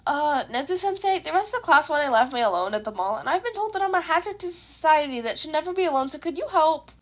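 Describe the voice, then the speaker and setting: A woman's voice: high-pitched, expressive, fast. A woman voicing an awkward, chaotic teenage boy hero in an audio story, earnest and cheeky. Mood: awkward, pleading.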